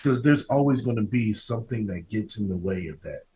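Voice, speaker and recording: A man hosting a casual interview podcast, speaking in an affirming, halting way and repeating himself as he goes. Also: fairly low pitch, animated, warm tone, slight background noise.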